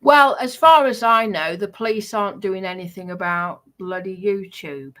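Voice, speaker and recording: A woman's voice: medium pitch, moderate pace, fairly bright tone. A British woman streamer talking casually and unscripted, in a defensive, insistent manner. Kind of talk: casual unscripted livestream talk.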